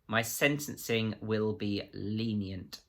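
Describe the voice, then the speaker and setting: A man's voice: lowish voice, takes it slowly. A man playing a prissy, menacing government agent in a story, officious, pompous and precise. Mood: icy condescension.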